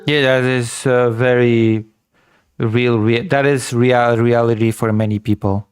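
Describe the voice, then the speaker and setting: A man's voice: medium pitch, fairly even intonation, unhurried, smooth. A man hosting a political commentary show who repeats his points emphatically. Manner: emphatic, repetitive.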